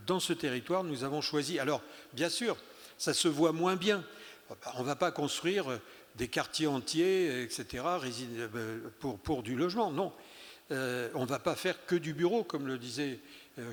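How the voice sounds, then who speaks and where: A man giving a round-table speech as an elected leader, emphatic, with many restarts and hesitations. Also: fairly high pitch. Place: conference hall. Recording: long hall reverb.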